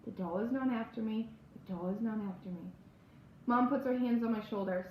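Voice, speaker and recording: A woman reads a spooky first-person children's novel aloud in a dramatic, expressive storytelling manner. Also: on the high side, fairly quick, lo-fi sound. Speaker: audiobook-style reader. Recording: roomy echo, faint background noise.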